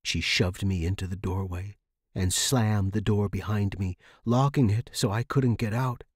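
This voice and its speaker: A man's voice: on the low side, steady tone, a little slow, husky, no echo, clean studio sound. A man hosting a fiction podcast and narrating scripted horror in a dramatic style.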